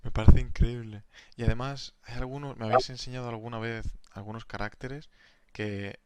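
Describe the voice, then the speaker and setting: A young man co-hosting a casual podcast, enthusiastic and quick to laugh. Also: medium pitch, fairly even intonation, very noisy. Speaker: podcast co-host, language teacher. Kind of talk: casual conversational chat.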